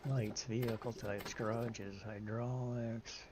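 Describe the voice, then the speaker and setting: A middle-aged man with a deep voice streaming a game, chatting casually, explaining patiently and reading menu items aloud. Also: flat delivery, slow pace, background noise. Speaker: live streamer. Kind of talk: casual gaming stream chat.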